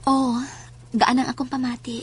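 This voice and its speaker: A woman acting a calm, polite but determined young woman in a horror audio drama. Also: high voice, moderate pace, lightly acted. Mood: gentle, questioning.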